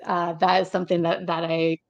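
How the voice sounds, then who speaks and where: A woman's voice: lowish voice, little pitch movement, a little fast, creaky, no room sound, lo-fi sound. A woman in her thirties hosting a casual video call interview, chatty and rambling with many fillers and restarts. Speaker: interview host. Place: home room indoors. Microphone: webcam on a video call.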